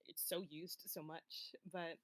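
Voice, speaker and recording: A woman's voice: sits low, little pitch movement, takes it slowly, fairly bright tone, no echo. A woman voice actor answering in a casual livestream Q&A, chatty and earnest with many fillers. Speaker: voice actor guest.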